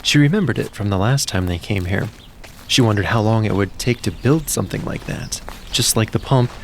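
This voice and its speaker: A man narrating a scripted story as a podcast storyteller, in a gentle storybook way with deliberate phrasing. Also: on the low side, a little hiss or hum. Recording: music behind the voice. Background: music.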